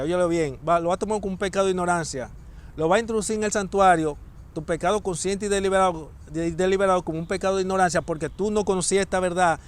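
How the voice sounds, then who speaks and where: A man preaching a sermon, emphatic and repeating key phrases for stress. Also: high voice.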